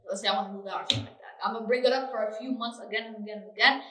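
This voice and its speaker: A woman's voice: mid-range voice, fairly even intonation, very fast, distant mic, noisy background. A young woman whose first language is Somali, chatting casually and animatedly with slang and lots of "like". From Somali family. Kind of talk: casual chat. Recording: echoey room, voice a bit off-mic.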